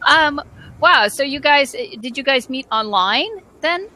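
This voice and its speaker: A woman hosting a casual interview as a publisher, chatty and quick to laugh. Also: high voice, animated, bright, forceful tone. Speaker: host, publisher. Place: home, phone ringing nearby.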